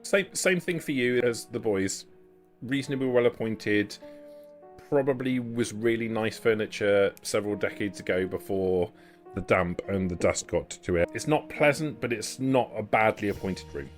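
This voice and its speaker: A man's voice: fairly low pitch, moderate pace, soft background music. A man running a tabletop roleplaying game as game master, narrating off the cuff.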